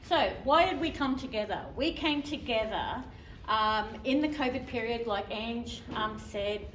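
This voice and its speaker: A woman with a fairly high voice tells her group's story to a conference audience in a chatty, conversational way, with plenty of ums. She is picked up from a distance in an echoey hall, with faint noise behind her. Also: measured pace. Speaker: community member presenter. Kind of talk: conference presentation.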